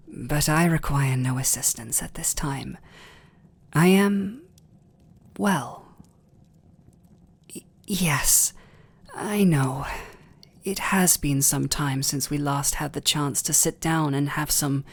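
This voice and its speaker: Man playing a slow, breathy British vampire lord. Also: fairly high pitch, heavily acted. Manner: soft, breathy, halting, formal. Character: gentle, overworked aristocratic male vampire lord, restrained and devoted. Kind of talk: ASMR romantic roleplay acting.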